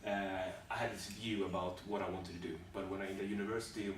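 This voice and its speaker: Man, job applicant, halting speech, lowish voice, very echoey room, far from mic, background noise. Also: fairly even intonation. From Sweden. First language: Swedish. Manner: halting, many fillers and restarts. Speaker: job applicant in a practice interview. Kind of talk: hesitant interview answers.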